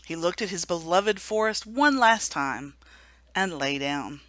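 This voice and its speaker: A woman reading a children's storybook aloud for a remembrance service, gentle and hushed at the sad moments. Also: on the low side, expressive, measured pace, dry recording. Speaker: story reader.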